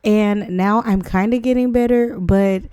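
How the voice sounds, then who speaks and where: A young woman with a hoarse voice from the flu, chatting casually as she narrates a game tutorial over gameplay. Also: mid-range voice, fairly even intonation, a little slow, mellow, no echo, clean studio sound. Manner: chatty, rambling, self-deprecating. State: hoarse from flu, voice not back. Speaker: gaming channel host.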